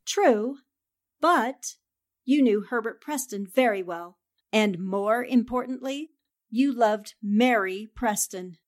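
A woman's voice: a little high, slow. A woman playing a shrewd, confident newspaper reporter with poised, theatrical delivery in a 1940s-style radio drama. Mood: cool accusation. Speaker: voice actor.

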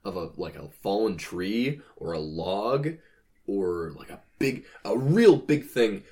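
A man's voice: fairly low pitch, animated, takes it slowly, a little mellow. A man chatting casually as a podcast co-host, with a wry, joking way of speculating.

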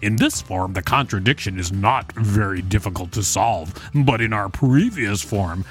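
Man, medium pitch, wry, conversational, podcast co-host, reading and bantering, music underneath. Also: fairly bright tone. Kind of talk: reading an encyclopedia article aloud with casual banter.

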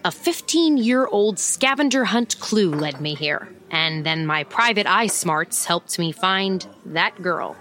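A woman's voice: fairly low pitch, animated, slow, hardly any pauses, a bit bright. A woman playing a flirty, wisecracking small-town private eye in an audio drama, with a teasing, bantering manner.

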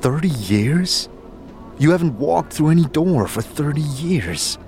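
A man's voice: a little high, expressive, a little slow, a little mellow, soft background music, pitched above the performer's own voice. A man playing a concerned, puzzled male wanderer in an audio drama. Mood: disbelieving.

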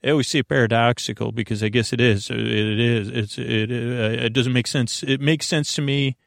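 A man hosting a sleep podcast, talking in a meandering, halting way, often restarting sentences and answering imagined objections. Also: medium pitch, steady tone, vocal fry, no echo, clean studio sound. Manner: voices imagined listener objections and answers them. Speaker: podcast host. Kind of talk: rambling, unscripted sleep podcast introduction.

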